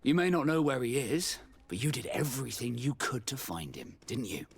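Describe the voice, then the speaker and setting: A man's voice: medium pitch, very animated, moderate pace, vocal fry. A man playing a proud, blunt, tough sea captain in a video game. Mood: stern.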